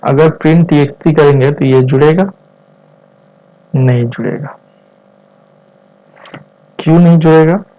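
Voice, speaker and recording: A man in his twenties with an Indian accent explains step by step as a tutorial instructor, in halting short phrases mixed with English terms. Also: a little high, mellow. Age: 20s. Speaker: programming instructor. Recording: dull, lo-fi voice recording.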